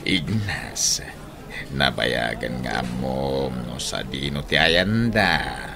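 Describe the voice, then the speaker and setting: A man playing a grim, menacing older general in an Ilocano radio drama, speaking slowly and theatrically in a deep, gravelly voice. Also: expressive, noisy background, exaggerated voice. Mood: grim, measured. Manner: slow, deliberate, theatrical.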